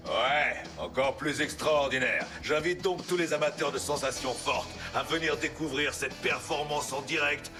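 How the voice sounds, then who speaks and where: Male actor as cartoon stunt motorbike, pompous, theatrical, boastful announcer, slightly high male pitch, background music. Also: normal speed, few pauses, close to an everyday voice. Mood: boastful, showy. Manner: pompous, grandiose announcer delivery. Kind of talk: French cartoon dub acting.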